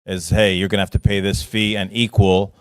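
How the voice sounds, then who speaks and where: A man with a deep voice speaks fast and direct at a city workshop. The sound is dry and close. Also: little pitch movement, no room sound. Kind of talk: public meeting discussion.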